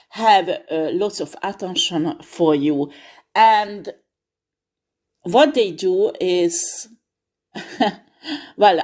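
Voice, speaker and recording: Slow-talking woman giving frank advice. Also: fairly low pitch, mellow. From not France. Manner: earnest, frank, many fillers and restarts. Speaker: tarot reader. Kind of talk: direct-to-camera advice talk.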